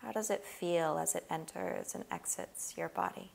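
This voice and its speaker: A woman leading a guided body scan meditation, giving gentle, unhurried instructions with long pauses. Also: on the low side, vocal fry.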